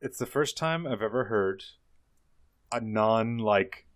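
A man hosting a casual podcast, with a voice that swoops widely up and down. He gets animated and laughs as he talks. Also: on the low side, slow pace. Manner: animated, laughs while talking. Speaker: podcast host.

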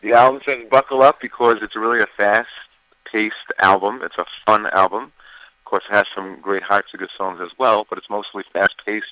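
A man, a singer, talking casually about his album in an interview, with a deep voice and plenty of fillers and restarts. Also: moderate pace, no room sound, dull audio. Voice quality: deeper voice. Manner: relaxed, many fillers and restarts.

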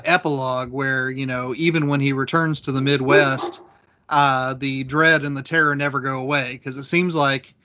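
A man with a bright, forward voice of medium pitch debates on a panel. He is probing and conversational, pushing back on ideas, on a slightly dull recording. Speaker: podcast panelist.

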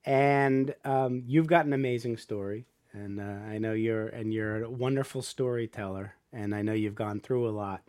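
A man hosting an interview podcast, halting and repeating words as he frames his questions. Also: on the low side, fairly even intonation, darkish tone. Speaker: podcast host. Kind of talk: interview with a guest.

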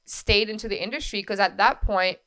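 A woman in her thirties from France with a French accent, telling her story as a podcast guest in a lively way with quick asides. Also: mid-range voice, clean tone. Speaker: guest, wedding coordinator. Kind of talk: casual interview storytelling.

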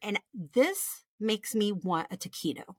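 A woman's voice: medium pitch, animated, a little slow, slightly rough, no echo, studio quality. An American woman co-hosting a podcast, reading listener stories aloud in a casual, sweary way with chatty asides. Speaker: podcast co-host.

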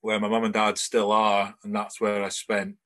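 A man's voice: lowish voice, completely flat delivery, moderate pace, a bit bright. A man in his forties with a Scottish accent carrying some English traces, telling his life story as a podcast guest in a rambling, chatty way. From Scotland. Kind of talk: casual interview chat.

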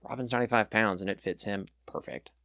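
A man's voice: lowish voice, steady tone, fast talker, pushed and bright, no room sound. A young man chatting as a podcast guest, rambling and enthusiastic with lots of fillers. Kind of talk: casual chat.

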